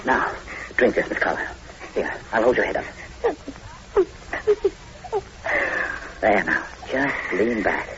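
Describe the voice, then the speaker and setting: An actor playing a middle-aged, level-headed doctor, skeptical yet soothing, in old radio horror drama acting. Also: male voice, fairly low pitch, animated, normal speed, lightly acted. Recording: noisy muffled old radio recording.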